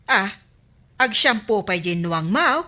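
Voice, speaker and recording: A nagging, practical village wife in a radio comedy drama. Her mid-pitched voice is bright and forceful, with a questioning delivery. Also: lively intonation, unhurried. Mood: doubtful.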